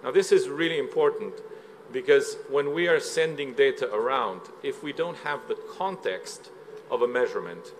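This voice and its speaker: A man from Slovenia presents at a conference in a mid-range voice, explaining each point steadily and pausing on filler sounds. Faint room ambience sits behind him. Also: medium pitch, a little hiss or hum. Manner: measured, explanatory, with frequent "uh" fillers. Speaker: conference speaker. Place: conference hall.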